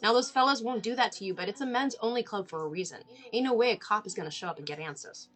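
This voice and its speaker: A woman's voice: medium pitch, fast talker, a bit bright, soft background music, close to an everyday voice. A woman reading the part of a brash, foul-mouthed, folksy small-town friend at a film table read. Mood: warning. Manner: salty, folksy, colloquial.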